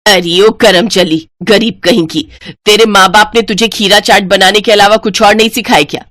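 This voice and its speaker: A woman's voice: medium pitch, fairly even intonation, normal speed, fairly bright tone, no echo, clean studio sound, lightly acted. A woman voicing a cruel, greedy mother-in-law in a storybook tale, taunting and insulting harshly. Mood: angry scolding.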